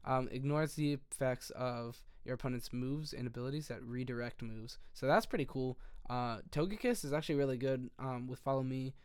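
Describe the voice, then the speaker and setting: A young man with a hoarse voice that keeps giving out, casually walking through a game strategy in a rambling, self-correcting way. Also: medium pitch, fairly quick, few pauses, no echo, studio quality. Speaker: video creator.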